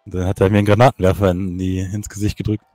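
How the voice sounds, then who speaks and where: A man talks casually as a fellow player in an online roleplay game. His voice is lowish and dark, recorded dry and close, telling things in an everyday conversational style. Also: flat delivery, rapid-fire, dry recording, soft background music. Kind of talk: casual in-character game chat.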